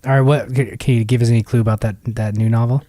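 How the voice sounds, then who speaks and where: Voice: mid-range voice, fairly even intonation, fast talker, dark tone, no room sound, clean studio sound. A podcast host asking rambling, hesitant questions full of fillers in a casual interview.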